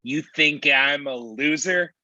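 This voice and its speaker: A man's voice: fairly high pitch, slow, sharp tone, exaggerated voice. A man playing an earnest, mild-mannered roommate who is easily hurt, in a table read over a slightly muffled call. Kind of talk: live table read of a screenplay.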